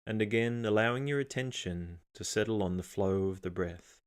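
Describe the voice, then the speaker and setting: Low-voiced man guiding a meditation. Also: smooth. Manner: calm, measured, unhurried instruction with pauses. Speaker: meditation guide. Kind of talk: guided meditation narration.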